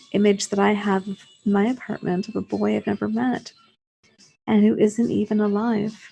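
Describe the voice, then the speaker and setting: Mellow husky woman reading a story, creaky. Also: medium pitch, rough recording. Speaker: short story reader. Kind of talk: literary first-person story narration.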